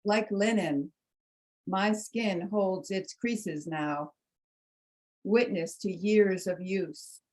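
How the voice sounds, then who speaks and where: A woman reading poetry and introducing it, with measured, deliberate phrasing. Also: mid-range voice, a little mellow, poor audio. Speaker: congregation member reading poetry.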